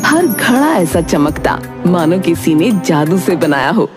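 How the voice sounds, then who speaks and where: A woman's voice: fairly low pitch, sing-song, measured pace. A woman narrating a scripted children's story as the storyteller. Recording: background music under the voice.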